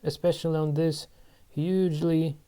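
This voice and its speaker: Man, 30s, channel host; dark, mellow tone; halting think-aloud game commentary. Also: fairly high pitch, little pitch movement, clean tone. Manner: musing aloud to himself, halting. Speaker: channel host playing online board games.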